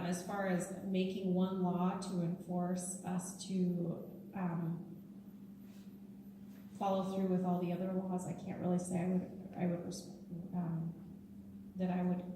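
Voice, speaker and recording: A woman candidate answers in a very flat, lowish voice, pausing and restarting as she goes. She sounds far from the mic in a large reverberant hall. Steady room noise sits behind her. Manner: hesitant, many fillers and restarts. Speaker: city council candidate. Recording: echoey hall from far off, noisy.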